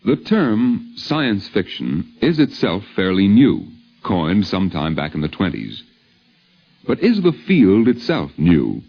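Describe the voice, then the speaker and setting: Low, expressive radio narrator, male. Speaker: narrator and host. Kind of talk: scripted 1950s radio documentary narration. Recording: dull vintage radio recording, music behind.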